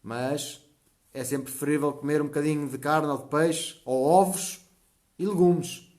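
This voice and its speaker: A man's voice: on the high side, steady tone, darkish tone. Chatty Portuguese man in his forties. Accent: European Portuguese. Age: 40s. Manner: chatty, often checks in with a quick "tá?". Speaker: natural health channel host. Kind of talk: live stream chat reading viewer comments.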